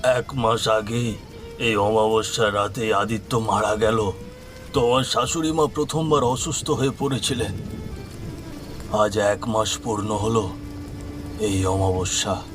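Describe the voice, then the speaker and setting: A man's voice: fairly low pitch, steady tone, unhurried, pauses often, music in the background, natural voice. A man playing a worried village father in a horror cartoon, speaking with a heavy, anxious manner. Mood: worried, sorrowful. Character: worried, troubled village father, middle-aged or older.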